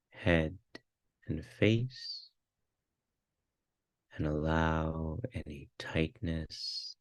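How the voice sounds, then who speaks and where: A man leading a guided meditation, giving calm, measured instructions in short phrases with pauses between them. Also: very deep voice, no echo.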